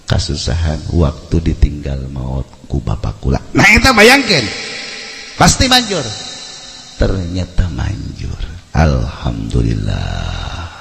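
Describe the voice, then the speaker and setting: A man with a Sundanese accent preaching as a storyteller, humorous and theatrical, acting out dialogue and swinging between hushed and loud delivery. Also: very deep voice, gravelly, a little hiss or hum. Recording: echoey hall with long reverb.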